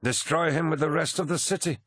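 A man's voice: fairly high pitch, brisk, a bit bright, dry recording, studio quality, pitched above the performer's own voice. Man putting on a snarling, hard warrior captain. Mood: blunt, ruthless. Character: harsh, uncompromising warrior captain, fiercely loyal. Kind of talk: dramatic audiobook character acting.

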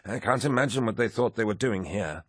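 A man's voice: fairly low pitch, brisk, breathy edge, no room sound, studio quality, pitched above the performer's own voice. A man voicing an aging, pompous male officer who rambles and declares things with great self-importance. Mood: reminiscing. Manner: blustering, declaring.

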